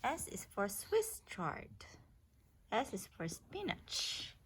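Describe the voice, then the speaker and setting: A woman's voice: medium pitch, huge pitch swings, slow, fairly bright tone, slight background noise. A woman reading an alphabet picture book aloud as a mother to a child, naming words one by one in an instructive way with casual asides.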